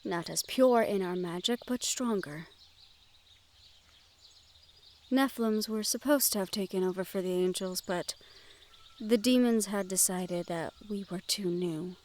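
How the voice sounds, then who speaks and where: Formally spoken, gentle fantasy noblewoman, acted by a woman. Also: mid-range voice, lightly acted. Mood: reflective. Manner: formal, measured phrasing with few contractions. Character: gentle, curious noble young woman, ward of a dragon bodyguard. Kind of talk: fantasy audio roleplay, speaking to the listener. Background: outdoor garden ambience with birdsong.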